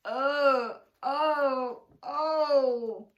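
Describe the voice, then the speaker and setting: A woman voicing a big, selfish male crocodile in a children's storybook, with loud, exclamatory, drawn-out delivery. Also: sits high, highs cut off, voice pushed up. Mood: groaning in pain. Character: big selfish bullying male crocodile, grateful once cured.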